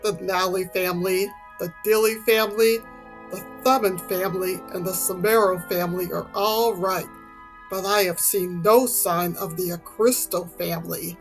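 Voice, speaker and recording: A woman's voice: fairly low pitch, steady tone, normal speed, faint music behind, lightly acted, rough recording. A woman voicing an earnest, conscientious grey winged horse in a storybook, grave and formal. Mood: grave, concerned. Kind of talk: dramatized storybook dialogue.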